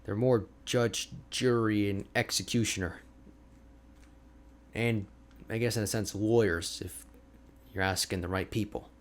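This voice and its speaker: A man playing an energetic, boastful, chatty ice mage hermit in an ASMR roleplay, with a playful, expressive, conversational delivery. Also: fairly low pitch, unhurried, pauses often. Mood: explanatory. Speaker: audio roleplay voice actor.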